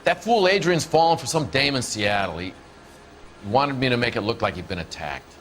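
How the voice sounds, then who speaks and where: An actor playing an evasive, defiant lowlife crime suspect in a video game, defensive and slangy. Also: male voice, animated, fairly quick, a bit bright. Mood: defiant, grudging. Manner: defensive, slangy, hesitant when cornered. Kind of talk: dramatic video game acting.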